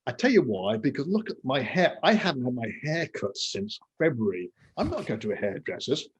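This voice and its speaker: A man's voice: mid-range voice, very animated, a little fast, husky, lo-fi sound. A man in his sixties from England, a podcast guest talking casually and rambling, with lots of fillers and self-mocking asides. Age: 60s. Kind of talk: casual interview talk.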